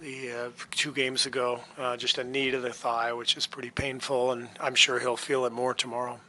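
A man in his fifties, a basketball head coach, answering reporters' questions at a postgame press conference in a relaxed, halting way with many fillers. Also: medium pitch, slight background noise. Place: press room before a sponsor backdrop. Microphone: table mic on a stand.

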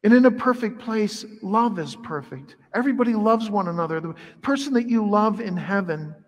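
A middle-aged priest preaches in a lively, conversational way, his voice sitting high for a man. The sound is caught from across a large church sanctuary, with a long reverb trailing each phrase. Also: moderate pace. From Ireland heritage. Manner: animated, conversational preaching with wide arm gestures. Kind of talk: sermon.

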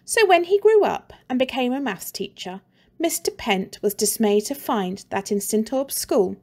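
A woman teacher reading a children's story aloud in a slow, expressive and playful way. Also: fairly high pitch.

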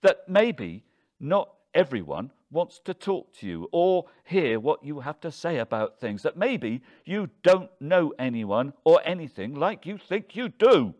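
A man playing a tense, bitter older husband in an audiobook, defensive, confrontational and quick to anger. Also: a little high, big pitch swings, darkish tone, voice pushed up. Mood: angry.

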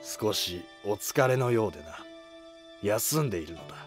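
A man's voice: fairly low pitch, expressive, normal speed, soft background music, close to an everyday voice. A man voicing a grave, weary senior court minister in a historical drama, speaking with formal authority.

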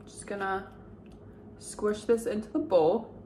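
A woman's voice: medium pitch, slow pace, warm tone, a little hiss or hum. A young woman chatting casually to the camera about food at home, upbeat and gushing. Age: young adult. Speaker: lifestyle vlogger. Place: home kitchen, indoors.

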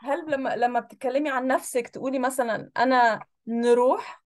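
Egyptian woman host, slightly high voice, repeats words back on a video call. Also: fairly quick, a little hiss or hum. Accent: Egyptian Arabic. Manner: curious, repeats words back to check them. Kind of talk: casual interview chat. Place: home room, indoors.

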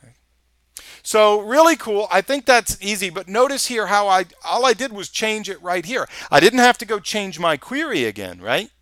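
A man with a Texas accent, walking through a software screencast in a casual, think-aloud way. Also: high voice, sing-song, normal speed, dry recording. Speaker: software instructor. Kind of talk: screencast tutorial walkthrough. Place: voice-over of a screen recording.

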